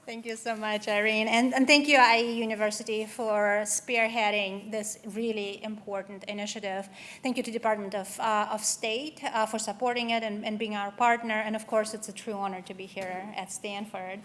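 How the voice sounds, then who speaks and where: A woman's voice: mid-range voice, fairly even intonation, normal speed, a bit bright, a little hiss or hum. Woman from russia giving a keynote, earnest and personal, lots of ums. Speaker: keynote speaker. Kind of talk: conference keynote speech. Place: event hall.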